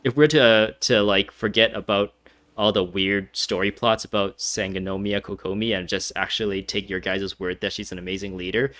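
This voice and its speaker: A man's voice: lowish voice, steady tone, normal speed, a bit bright. A young man giving casual, wry gameplay commentary, laughing as he nitpicks. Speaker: game streamer.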